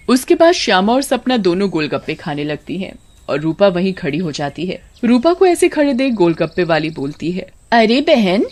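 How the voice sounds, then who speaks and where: A woman's voice: on the low side, sing-song, moderate pace, a little mellow. A woman storyteller reading scripted narration for a village tale.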